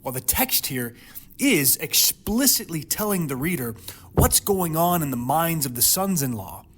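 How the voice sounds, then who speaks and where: A man delivering a dramatic Bible teaching monologue, emphatic, with rhetorical questions and pauses for effect, over noticeable background noise. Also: a little high. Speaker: host of a Bible teaching series.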